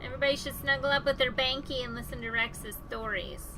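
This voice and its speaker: A woman's voice: high voice, fairly even intonation, fast, rough recording. A woman giving casual, playful commentary as she plays a game, with a silly, jokey manner. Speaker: game streamer. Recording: game music behind the voice.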